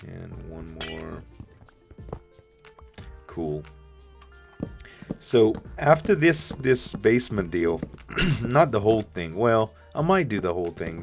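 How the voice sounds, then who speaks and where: A man with a deep voice, a bit rough from the morning, casually thinking aloud while building in a game he hosts. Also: lively intonation, a little slow, long pauses, faint music behind. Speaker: let's play host. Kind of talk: casual gameplay commentary.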